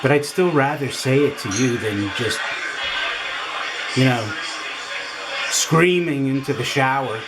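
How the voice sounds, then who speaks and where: A man playing a resigned, needy character confiding in a halting, deliberate dramatic monologue. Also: medium pitch, poor audio. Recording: loud music over the voice.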